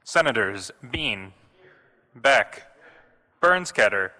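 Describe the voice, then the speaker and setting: Very slow, piercingly bright man calling out. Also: lowish voice, expressive. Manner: deliberate, announcing in isolated phrases. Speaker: senate clerk calling the roll. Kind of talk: formal roll call. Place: large legislative chamber. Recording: echoey hall with long reverb.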